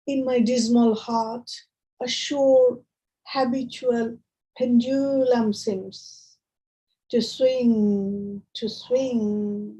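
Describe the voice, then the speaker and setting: A woman poet with a Bengali accent gives a reading in a rather high, soft-edged and mellow voice. She speaks in short, measured phrases, moving between verse and plain introductions for her listeners. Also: poor audio. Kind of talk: poetry reading with spoken introductions to an audience.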